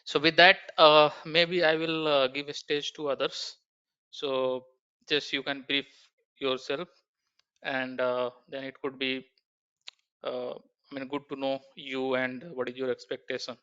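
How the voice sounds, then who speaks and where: A man who trains students in warehouse software and runs an online class. He talks haltingly over a video call and uses many fillers. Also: mid-range voice, level tone, clean tone. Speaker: SAP trainer. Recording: dull, muffled video call audio.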